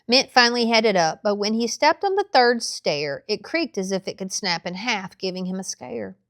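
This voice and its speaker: A woman narrating a children's novel aloud in a measured, expressive storytelling style. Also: medium pitch, no echo, clean studio sound.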